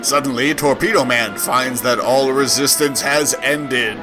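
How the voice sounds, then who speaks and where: A man's voice: mid-range voice, a little slow, over music. A man co-hosting a podcast, narrating a comic and joking about it casually.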